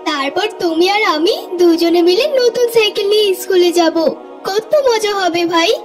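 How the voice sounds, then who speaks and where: An eager young cartoon schoolboy acted out by a performer, with an extremely high voice. He is earnest and headstrong, and music plays behind him. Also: normal speed. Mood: cheerful, curious. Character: eager young schoolboy, pleading then stubbornly demanding. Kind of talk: cartoon voice acting.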